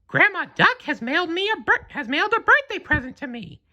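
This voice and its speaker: Storybook cartoon mouse, male female performer: piercingly high falsetto, gushing, childlike, very animated. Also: fairly quick, highs cut off, full character voice. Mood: delighted. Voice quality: squeaky falsetto. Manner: gushing, exclaiming.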